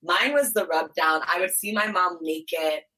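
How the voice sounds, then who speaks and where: A young woman with a low, bright voice tells stories in an animated, chatty way. She grew up in Ohio and her first language was Twi. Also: moderate pace, breathy edge. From United States, Columbus, Ohio. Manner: lively, animated storytelling with laughs. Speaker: guest, beauty brand founder. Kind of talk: casual interview chat.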